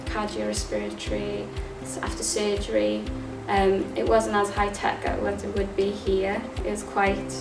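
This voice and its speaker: Young woman, flat steady talk, far mic in an echoey room. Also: mid-range voice. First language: Welsh. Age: young adult. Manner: plain, even telling with fillers. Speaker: physiotherapy student. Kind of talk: casual talk to camera. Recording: roomy, distant mic, music behind.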